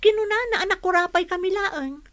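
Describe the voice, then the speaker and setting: A woman's voice: extremely high pitch, a little fast, fairly bright tone, no room sound. A woman playing a worried young village daughter with an Ilocano accent, confiding earnestly in a radio drama. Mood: worried, indignant.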